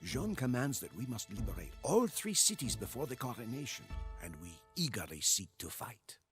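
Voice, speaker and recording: An actor narrating as a weary, devoted medieval French soldier, solemn and storyteller-like, in a scripted game cutscene. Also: male voice, mid-range voice, very animated, normal speed, fairly bright tone. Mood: solemn, reflective. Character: weary, devoted French soldier chronicling Joan of Arc's war. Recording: music behind the voice.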